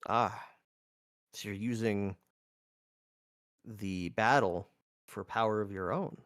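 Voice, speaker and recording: A man voicing a measured, scholarly elf mage in a tabletop game, with hesitant, questioning delivery. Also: on the low side, fairly even intonation, a bit bright, dry recording, studio quality. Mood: probing. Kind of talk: tabletop roleplay dialogue.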